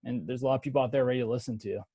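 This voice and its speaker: A male professor talking casually on a webinar over a video call, relaxed and encouraging, with many fillers. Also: medium pitch, racing, slightly rough, no echo.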